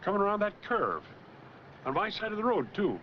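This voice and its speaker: A man's voice: high-pitched, sing-song, a little fast, a little hiss or hum. A man playing a cocky, defensive truck driver in a 1940s film noir. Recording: muffled 1940s film soundtrack, music behind.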